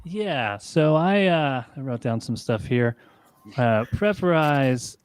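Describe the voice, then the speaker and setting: Man, comedy game show contestant, casual delivery, medium pitch. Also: lively intonation, slow pace, clean tone, no echo.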